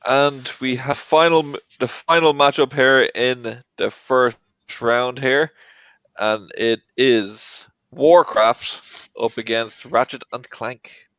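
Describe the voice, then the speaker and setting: A man of average pitch hosts a casual panel show. His voice is recorded dry and close to roomless, with a slightly dull, lo-fi sound. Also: slow, fairly bright tone, clean tone, no echo. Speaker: host.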